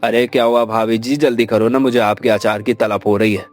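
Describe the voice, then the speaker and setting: Casting: man as eager, chatty foodie pal for family cartoon, mid-pitched voice over background music. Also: level tone, fairly quick, natural voice. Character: friendly, eager young family friend who loves food. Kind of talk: Hindi moral cartoon story acting.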